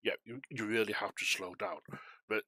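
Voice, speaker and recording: A man with a lowish voice and a bright, forward edge talks casually to his viewers. He rambles in a chatty, self-deprecating way while working as a flight-sim air traffic controller. The sound is close and dry, with very little room. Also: fairly even intonation, normal speed, husky, no echo. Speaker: streamer, volunteer air traffic controller on a flight simulation network.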